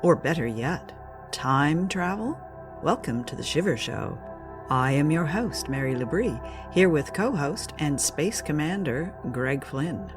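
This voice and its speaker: A woman's voice: low-pitched, expressive, a little slow. A woman hosting a show, giving a scripted introduction. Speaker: podcast host. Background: music.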